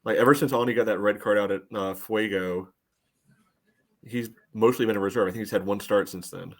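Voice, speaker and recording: A man with a fairly low voice co-hosts a sports podcast. His delivery is conversational and dry, with sardonic emphasis on his points. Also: fairly even intonation, brisk. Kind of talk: casual soccer discussion.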